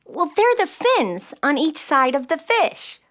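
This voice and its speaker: Nine-year-old know-it-all girl, earnest and eager, high and very animated. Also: slow, heavily acted. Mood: eager, explaining. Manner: earnest, chatty, explaining. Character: bright, eager, spirited nine-year-old girl who loves facts. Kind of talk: children's audio play acting.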